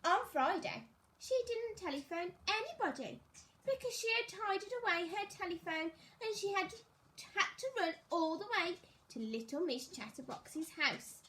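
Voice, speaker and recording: A woman teacher reading a children's story aloud, expressively and deliberately, as if to young pupils. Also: very high-pitched, lively intonation, a bit bright, poor audio.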